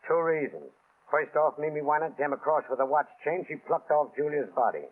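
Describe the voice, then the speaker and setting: A blunt, dogged male police inspector played by an actor from a 1930s radio play. He talks briskly in slangy phrases at a slightly high pitch, on a thin narrow-band recording. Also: close to an everyday voice. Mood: dogged, confident.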